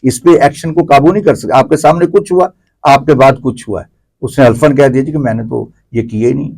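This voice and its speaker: A man's voice: medium pitch, gravelly, darkish tone, breathy edge. A middle-aged Pakistani man giving opinions as an interview guest, explaining at length and repeating phrases conversationally. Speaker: interview guest, theatre commentator.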